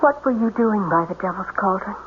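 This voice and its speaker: A woman's voice: mid-range voice, animated, brisk, natural voice. An actress playing a mysterious, haunted young woman with a solemn, dramatic delivery in a radio drama. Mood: curious, intent. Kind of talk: 1970s radio drama acting. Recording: muffled, noisy narrow-band old radio recording.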